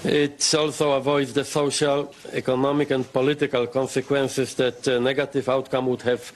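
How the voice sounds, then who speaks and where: A man delivering a formal press statement in a deliberate way. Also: medium pitch, level tone, slight background noise. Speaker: official giving a statement.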